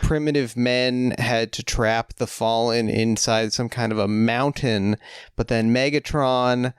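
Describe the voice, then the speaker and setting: A man's voice: medium pitch, fairly even intonation, a little slow, smooth, no echo, studio quality. A man co-hosting a podcast in casual chat, joking and drifting into rambling tangents. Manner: rambling, jokey, riffs on tangents. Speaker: podcast co-host.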